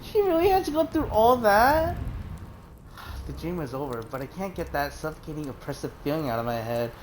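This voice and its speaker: Expressive, swearing woman streamer. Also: low voice, a little fast, a little hiss or hum. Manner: reactive, swears a lot, exclaims loudly. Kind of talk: casual gaming commentary.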